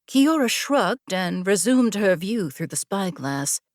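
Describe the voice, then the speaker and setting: A woman narrating scripted fiction with a measured, deliberate storytelling delivery. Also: mid-range voice, sing-song, no room sound, clean studio sound. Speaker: audiobook narrator.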